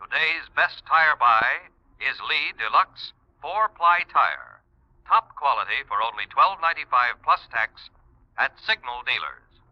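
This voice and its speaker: A man's voice: medium pitch, expressive, measured pace, no echo. An American man as a commercial announcer, delivering an emphatic advertisement in 1940s radio style. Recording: dull, dry vintage radio recording.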